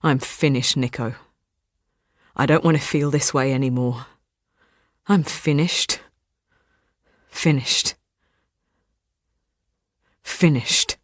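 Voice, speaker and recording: A woman's voice: a bass voice, slow pace, long pauses, dry recording, clean studio sound, exaggerated voice. An actress playing a despairing young woman, voicing a farewell note mournfully in a radio drama. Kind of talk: radio drama acting of a read letter.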